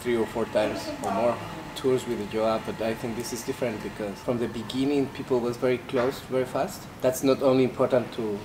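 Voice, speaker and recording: A man's voice: medium pitch, steady tone, fairly quick, few pauses, a crowd in the background. A man from Guatemala, a native Spanish speaker, answers casually as an orchestra musician, searching for his words. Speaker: orchestra violist, interviewee. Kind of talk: casual interview. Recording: noisy room ambience.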